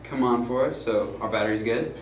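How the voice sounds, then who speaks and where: A man's voice: medium pitch, fairly quick. Young man, casual tutorial, informal with fillers, distant mic, echoey room. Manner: loose and informal, many fillers and "gonna go ahead". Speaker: student crew tutorial presenter. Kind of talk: casual how-to demonstration. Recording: roomy, far from mic, noisy.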